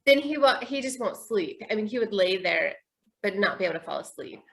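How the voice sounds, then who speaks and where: A woman talking casually and informally, as in a relaxed conversation between friends. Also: medium pitch, a little fast, creaky. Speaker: podcast host, parent. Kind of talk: casual chat.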